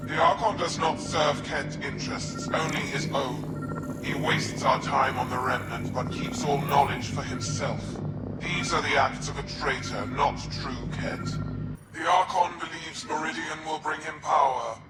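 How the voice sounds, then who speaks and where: A man playing a cold, scheming alien military zealot in a video game, speaking formally and deliberately with menace. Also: on the high side, fairly even intonation, close to an everyday voice. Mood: grave contempt. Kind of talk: dramatic video game acting. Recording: echoing, with music behind.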